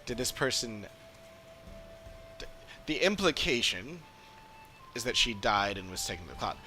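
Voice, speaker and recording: Bright-voiced man rambling over game music. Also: medium pitch, big pitch swings, moderate pace. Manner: musing, rambling, thinking aloud. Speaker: game streamer. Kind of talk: casual livestream commentary while playing a game.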